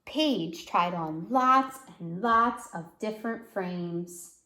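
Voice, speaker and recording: A woman reading a children's storybook aloud like a teacher, pausing to explain words and put questions to listeners. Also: medium pitch, lively intonation, sharp tone. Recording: roomy, echoey sound.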